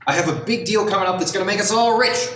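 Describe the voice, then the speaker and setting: A man's voice: high voice, fast, fairly bright tone, recorded from a distance, slight background noise. A man in a radio play acting a brash, jovial wheeler-dealer, boisterous and slangy as he sells hard. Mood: pushy, enthusiastic. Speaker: actor in a youth group radio play. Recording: echoey room, off mic.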